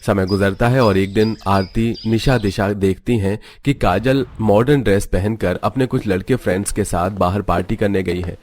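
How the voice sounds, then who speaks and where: A man's voice: lowish voice, moderate pace, few pauses, a little mellow, a little hiss or hum. A man narrating a cartoon family story from a script.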